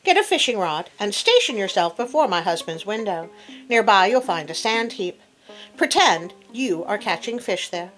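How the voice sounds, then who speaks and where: A woman voicing a clever, devoted nobleman's wife in a storybook, composed and measured. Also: mid-range voice, very animated, a bit bright, soft background music, poor audio. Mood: shrewd.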